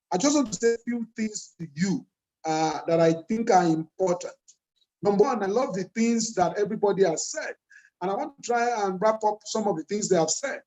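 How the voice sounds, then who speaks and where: A man's voice: sits high, darkish tone, poor audio. A man in his fifties with a Nigerian accent speaks to a young audience over a video call. He talks earnestly, circles back to the same questions and repeats lines to drive them home. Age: 50s. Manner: earnest, repeats key phrases and asks rhetorical questions. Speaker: conference speaker addressing children. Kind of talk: motivational speech to young people.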